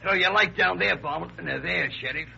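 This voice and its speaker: An actor playing an earnest, uneasy oil-field worker giving a hesitant witness report in an old-time radio drama. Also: male voice, sits high, fairly quick, gravelly, bright, forceful tone, exaggerated voice. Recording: dull vintage radio recording, faint noise.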